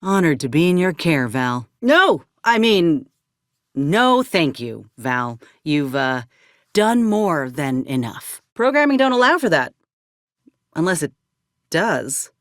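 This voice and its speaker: A weathered soldier gal in a sci-fi game, deep for a woman, plainspoken and wry, no room sound. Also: sing-song, takes it slowly, dry recording, heavily acted. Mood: bemused. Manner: folksy, dry, plainspoken. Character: tough, wry, weathered female soldier companion in space. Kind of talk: video game character dialogue.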